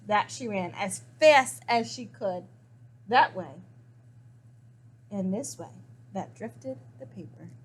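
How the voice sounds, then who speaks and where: Female storyteller, high voice, expressive picture-book read-aloud with dramatic emphasis and pauses. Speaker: story reader.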